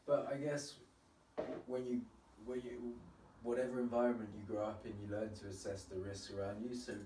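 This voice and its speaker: A man's voice: mid-range voice, level tone, moderate pace, dark tone, recorded from a distance, slight background noise. A man who grew up in Spain, talking in easygoing, thoughtful casual chat and laughing often. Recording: roomy, held away from the mic.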